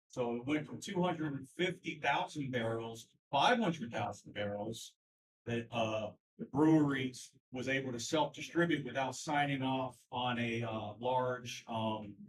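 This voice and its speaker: A man runs a convention meeting in loose, chatty words, often restarting his sentences. His voice sits mid-range and comes from some way off the microphone in a meeting room. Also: medium pitch. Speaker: party chair running a convention. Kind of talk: informal meeting chairing. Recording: voice from far off the mic.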